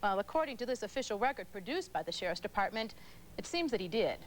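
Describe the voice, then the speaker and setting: A woman's voice: medium pitch, big pitch swings, quick pace, a little hiss or hum. A woman with an American accent delivering a broadcast news report as a television reporter.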